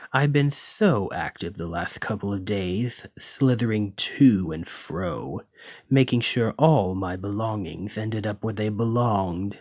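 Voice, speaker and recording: A haughty serpent nobleman, acted by a man for an ASMR roleplay, speaks at a slow, lordly drawl in a fairly low voice. His pompous, refined phrasing rises and falls widely as he condescends to and teases his servant. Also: darkish tone, dry recording, studio quality. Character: haughty, teasing male lamia noble, half-serpent employer. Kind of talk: ASMR roleplay, scripted monologue to listener.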